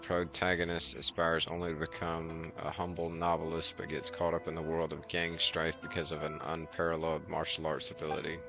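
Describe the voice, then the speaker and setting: A man's voice: rumbling low, gravelly, bright, forceful tone. A man streaming a video game and reading its text aloud.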